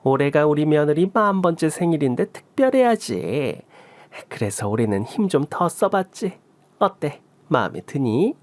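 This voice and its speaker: An elderly mother-in-law from a radio story , acted out by a man, doting and bossy. The talk is colloquial and fondly scolding, with very lively pitch swings in a dry recording. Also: moderate pace, dry recording. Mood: proud. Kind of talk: Korean radio story acting.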